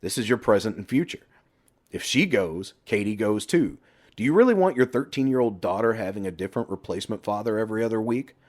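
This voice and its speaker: A man's voice: lowish voice, animated, a little fast, darkish tone. A man voicing a brash middle-aged best friend who lectures and needles bluntly in an audiobook. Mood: goading. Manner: blunt, emphatic, sweary banter.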